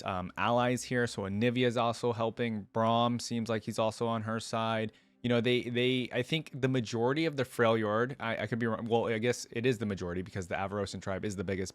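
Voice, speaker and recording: Male reaction host: somewhat low pitch, dry sound, chatty and enthusiastic, casual commentary style. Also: no echo. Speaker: host of a game lore reaction channel. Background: faint music.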